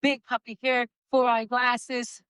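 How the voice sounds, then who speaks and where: A woman with a slight Spanish accent, Spanish her first language, delivers an emphatic motivational speech in a high, bright voice. She stretches out her key lines and laughs lightly between points. The sound is dry and close. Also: level tone, slow pace, clear, resonant tone, no room sound. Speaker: motivational speaker. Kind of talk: inspirational stage speech.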